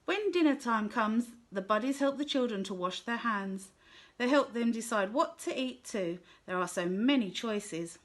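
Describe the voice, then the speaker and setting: A woman with a slightly high voice reads a children's picture book aloud. She tells the story gently and clearly, at an easy pace for young listeners. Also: expressive, moderate pace. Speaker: storybook reader.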